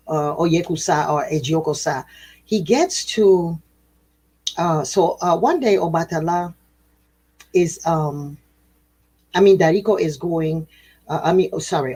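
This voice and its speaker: A woman with a low, husky voice telling a traditional tale in a relaxed, conversational way. Her delivery is animated, with sudden jumps in pitch, little laughs and quick self-corrections as she goes. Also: poor audio. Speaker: spiritual storyteller, host. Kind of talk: casual oral storytelling.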